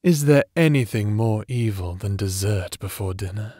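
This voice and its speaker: A man's voice: fairly low pitch, lively intonation, no echo, studio quality. A man playing a doting, theatrical necromancer husband in a romantic ASMR audio drama, teasing and formal in his diction. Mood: playful, affectionate. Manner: teasing, slow, formal old-fashioned diction. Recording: soft instrumental music bed behind voice.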